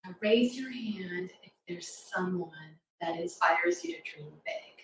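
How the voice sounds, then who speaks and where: A woman's voice: on the low side, a little slow, recorded from a distance. A middle-aged woman with an American accent gives an informal talk for children in a teacherly manner. She is heard a little way from the mic in an echoey room, with faint noise. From New York City suburbs, United States. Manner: teacherly, checking in with "right?". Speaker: children's author and former teacher.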